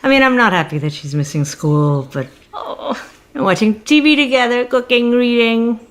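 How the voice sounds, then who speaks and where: A woman's voice: fairly high pitch, animated, moderate pace, lightly acted. An actress playing a middle-aged single mother in a comedic audio drama, polite and awkward, speaking hesitantly and tactfully. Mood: chatty, wistful.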